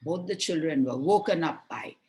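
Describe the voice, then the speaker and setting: A woman telling a children's fairy tale with expressive, explanatory delivery and deliberate emphasis. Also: low-pitched, slight background noise. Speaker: storyteller.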